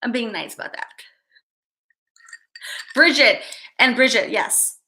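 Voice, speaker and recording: High bright-voiced woman rambling to her livestream chat. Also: lively intonation, takes it slowly. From Chicago, USA. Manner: rambling, chatty, reads viewer names aloud. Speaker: livestream host.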